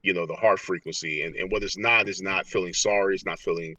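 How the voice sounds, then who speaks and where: A man with a very deep voice that has a rough, husky edge teaches in a conversational, motivational way. He is earnest and repeats phrases for emphasis. The sound is dry and a little distant, as on a remote call. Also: fairly even intonation, fast talker, pushed and bright, no echo, muffled recording. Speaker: interview guest, motivational speaker. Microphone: earpiece on a remote call.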